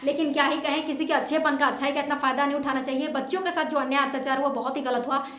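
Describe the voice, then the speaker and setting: A woman's voice: high-pitched, fairly even intonation, racing, a bit bright. A woman talks straight to her viewers. Her commentary is informal and opinionated, pressed home with emphatic, indignant rhetorical questions. The sound is echoey, like an untreated room. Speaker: vlogger commenting on a family dispute.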